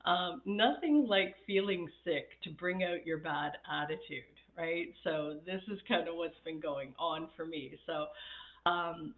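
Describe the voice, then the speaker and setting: Raspy-voiced woman on a tinny line. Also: fairly low pitch, measured pace. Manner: chatty, laughs often, reads viewer comments. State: raspy from recent illness. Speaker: happiness coach. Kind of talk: casual live-stream talk. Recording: tinny phone-like sound in an echoey room.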